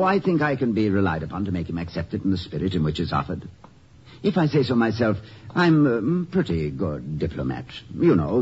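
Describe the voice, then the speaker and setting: An actor playing a mild, urbane, gently witty college president in a 1950s radio comedy, speaking politely and hesitantly with ornate, wry wording. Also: male voice, deep voice, slightly rough, heavily acted. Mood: breezy, self-assured. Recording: muffled, noisy vintage 1950 radio recording.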